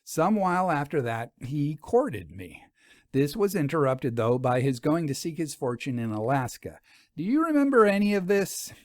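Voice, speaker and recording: A man's voice: on the high side, big pitch swings, normal speed, a little mellow, no room sound. Storybook heroine's companion, played by a male narrator: earnest woman, careful, a little anxious, dry sound. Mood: earnest, confiding. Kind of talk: audiobook character dialogue.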